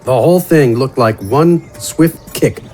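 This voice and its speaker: A man narrating a scripted fantasy story in a measured, descriptive storytelling manner. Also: medium pitch, darkish tone, soft background music. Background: horse and outdoor ambience effects.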